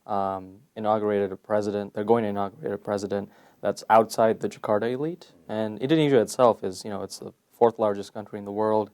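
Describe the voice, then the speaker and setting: A young man from Malaysia, a PhD candidate answering interview questions in a measured, thoughtful way with frequent fillers. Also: lowish voice, steady tone, a little mellow. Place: conference venue.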